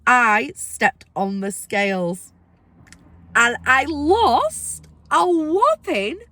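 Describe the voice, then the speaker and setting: Chatty woman vlogger with a squeaky, poorly voice. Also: extremely slow, no room sound. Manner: chatty, excitable, rambling asides. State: ill, squeaky voice. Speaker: weight-loss vlogger. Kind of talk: casual vlog to camera.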